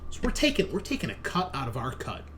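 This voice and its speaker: A man's voice: medium pitch, wildly swooping pitch, fast, fairly bright tone, a little hiss or hum. A man hosting and game mastering a tabletop roleplaying session in an informal, casual way.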